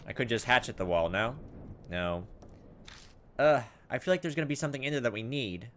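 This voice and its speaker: A man's voice: mid-range voice, animated, a little fast, a bit bright. Man musing through gameplay, noisy recording. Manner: thinking aloud, hesitant musing. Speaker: let's play host. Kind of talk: casual gameplay commentary.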